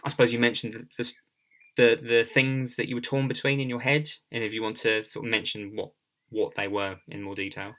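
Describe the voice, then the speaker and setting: A man's voice: medium pitch, level tone, a little fast, a bit bright. A man hosting a podcast interview in a conversational way, feeling his way tentatively through roundabout questions and repeating words.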